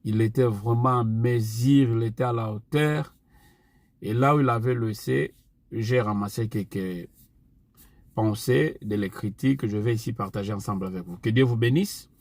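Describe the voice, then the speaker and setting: Man, Portuguese speaker, preacher, deliberate repeated refrains, dry close sound. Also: fairly low pitch, darkish tone, no echo. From Angola. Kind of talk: online sermon.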